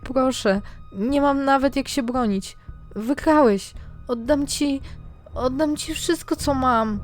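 A woman's voice: high voice, slow, soft background music, pitched above the performer's own voice. A woman voicing a frightened young noblewoman in an audio story, pleading tearfully and breathlessly. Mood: terrified pleading.

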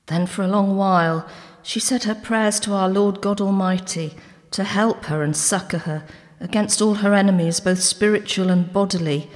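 A woman's voice: medium pitch, fairly even intonation, moderate pace. A woman giving a scripted dramatic reading of an old religious text. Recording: long reverberant hall.

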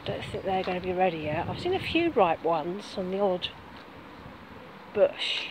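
A middle-aged woman talks casually while she walks outside, pointing things out as she goes. She speaks into a phone held close to her face. Clear outdoor hiss and wind sit under her voice. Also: fairly low pitch, sing-song, measured pace. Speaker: vlogger. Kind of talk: casual walk-and-talk vlog. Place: outdoors on a grassy field by bushes. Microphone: phone camera held close.

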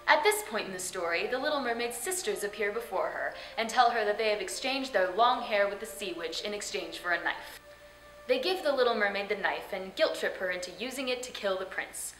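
Young woman, scripted comedic explainer host, theatrical, bright tone, music behind. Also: mid-range voice, lively intonation, a little fast. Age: young adult. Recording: roomy sound with background music.

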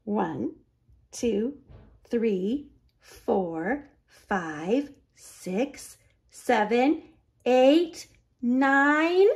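Slow, lilting woman reading to children. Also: on the high side. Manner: deliberate, expressive, repeats phrases for children. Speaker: children's library storyteller. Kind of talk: picture book read-aloud for young children.

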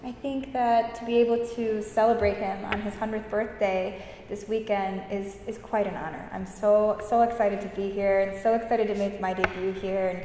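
A woman's voice: medium pitch, fairly even intonation, moderate pace, few pauses. A young woman from the United States talks about singing with bubbly enthusiasm and a ready laugh. Her voice carries a long, roomy reverb from the indoor space around her. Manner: enthusiastic, laughs often, warm gushing praise. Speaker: guest opera singer. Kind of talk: interview answers. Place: room with high windows, indoors. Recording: echoey room, reverb, faint noise.

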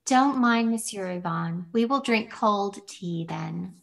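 A languid young wife from a stage drama, read by a woman. She is offhand, with an average pitch and plenty of vocal fry, a little distant on a video call. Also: animated, takes it slowly, full character voice. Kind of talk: amateur play reading. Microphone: video call microphone.

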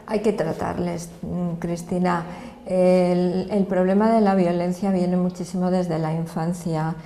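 A woman's voice: on the low side, little pitch movement, unhurried, warm tone. An older woman from Madrid with a Spanish accent, explaining things earnestly in a sit-down interview indoors. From Madrid, Spain. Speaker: association president. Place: indoor office room. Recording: echoey room.

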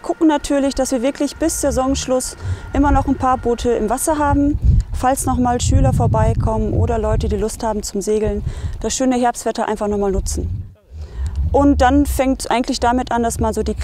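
A woman in her thirties gives interview answers in a fairly high voice. She is recorded outdoors by the water, with noticeable ambient noise around her. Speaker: sailing school representative. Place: outdoors at a lakeside marina. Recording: clearly noisy outdoor ambience.

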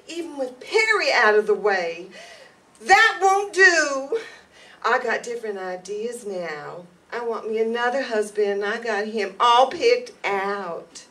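A woman's voice: sits high, bright, forceful tone, full character voice. A woman playing a scheming, flirtatious gangster's wife in a radio noir, sugary and gushing, recorded in a hall. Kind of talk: 1950s radio noir acting. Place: stage in an indoor meeting hall, live reading. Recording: distant mic in a hall.

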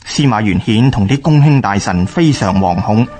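A man with a Hong Kong Cantonese accent reads scripted historical radio narration in a formal, measured way. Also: fairly low pitch, lively intonation, darkish tone.

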